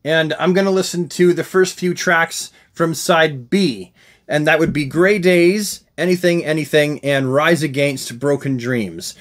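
A man's voice: a little high, measured pace. A middle-aged man giving casual, jokey unboxing commentary to camera, effusive and repeating words for emphasis. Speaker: host of a comics collecting channel. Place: small indoor room packed with collectibles.

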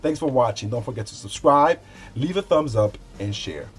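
A man's voice: medium pitch, animated, moderate pace, slightly rough, faint music behind. A man in his forties talking casually and directly to viewers as he hosts an unscripted product review indoors. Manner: conversational, addresses viewers directly. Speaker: product review video host. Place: small home studio room indoors.

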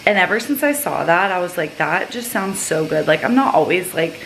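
A woman's voice: on the low side, expressive, normal speed, fairly bright tone, roomy sound, a little hiss or hum, rough recording. A young woman in her twenties chatting casually to the camera in a vlog, full of "like" fillers, filmed at home and in her car. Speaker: vlogger. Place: small apartment bathroom and car.

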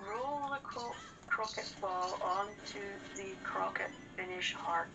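A woman with a mid-pitched, noticeably creaky voice chats casually as she games. Video game music plays clearly behind her. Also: slow pace, poor audio. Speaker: gamer, co-host of a let's play show. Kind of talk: casual chat while gaming. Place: indoors, room with a TV screen.

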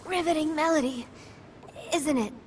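A woman's voice: sits high, natural voice. A woman voicing an eerie, refined, theatrical character in a video game cutscene. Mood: rapturous. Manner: slow, theatrical. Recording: clear background noise.

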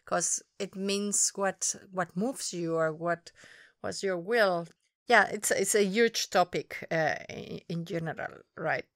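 Spanish-accented woman, smooth and thoughtful. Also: fairly low pitch, takes it slowly, a bit bright, dry recording, clean studio sound. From Spain. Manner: thoughtful, searching for words. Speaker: guest interviewer. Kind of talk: reflective conversational interview.

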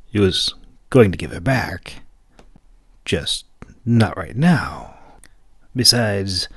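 Male audiobook narrator, fairly deep, dramatic scripted fantasy storytelling, dry sound. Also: breathy edge, no room sound.

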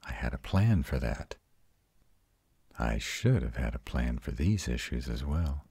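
Ancient male vampire, played by a man, intimate audio roleplay; bottomless bass, dry close sound, tender and reassuring. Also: big pitch swings, no echo, exaggerated voice. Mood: tender, apologetic. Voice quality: very deep, husky. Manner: soft, intimate, slow reassurance. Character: ancient arrogant male vampire tenderly comforting his mate. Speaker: voice actor.

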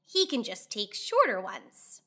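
A woman's voice: medium pitch, sing-song, measured pace, sharp tone, heavily acted. A woman voicing a kind female fox schoolteacher from a storybook, patient and emphatic.

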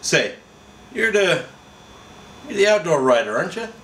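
A man's voice: high-pitched, very animated, moderate pace, a bit bright, voice pushed up, exaggerated voice. A man voicing a weary, bossy burglar from a comic story, curt and slangy. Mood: irritated. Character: gruff, weary male burglar, bossy and irritable. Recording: roomy echo, faint background noise.

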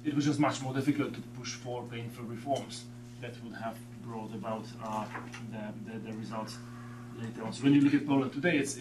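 A middle-aged man with a Slovak accent delivers an academic talk in a seminar room, stumbling over repeated words as he finds his way. His voice sits at an ordinary pitch for a man. It is caught from a distance, with room echo and a constant hum. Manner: halting, repeats words often while searching. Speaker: guest lecturer. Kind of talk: academic lecture. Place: seminar room with a long table. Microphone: far room camera. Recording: echoey room from far off, background hum.

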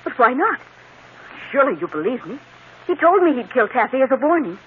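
A woman's voice: high voice, very animated, a little fast, a little hiss or hum. Frightened young lady, tinny old radio. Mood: frightened, pleading. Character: frightened, fretful young woman pleading for protection. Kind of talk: old-time radio drama acting.

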